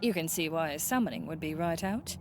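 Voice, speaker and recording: Woman playing a wistful old friend, high swooping voice, slow florid archaic speech, visual novel drama, music behind. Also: animated. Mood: teasing.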